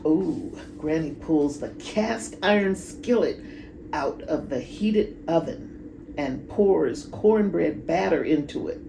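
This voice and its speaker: A woman reads a picture book aloud to children. Her voice is creaky and slow-paced, with drawn-out, expressive emphasis. Clear background noise runs under the amateur recording. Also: low-pitched, echoey room. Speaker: children's storybook reader. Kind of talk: expressive read-aloud storytelling with asides.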